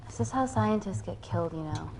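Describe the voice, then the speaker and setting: A woman's voice: on the low side, lively intonation, brisk, creaky, music in the background, low-quality recording. Dry, sceptical woman scientist. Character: level-headed, sceptical female scientist, dryly reproachful. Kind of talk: live-action film acting.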